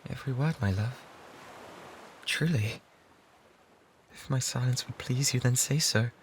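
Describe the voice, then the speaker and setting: An obsessive pirate in love, played by a man, speaks with a British accent at a medium pitch. He is breathy and theatrical, pleading with tender devotion and slipping into whispers. It is an intimate ASMR roleplay on a close binaural microphone, with faint ambient noise behind him. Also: unhurried, lightly acted. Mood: desperate pleading.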